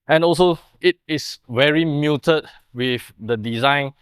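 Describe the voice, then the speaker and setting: A man's voice: medium pitch, clear, resonant tone, no echo, clean studio sound. A young man explaining and demonstrating in the style of a car product showcase. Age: young adult. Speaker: presenter of a car workshop video.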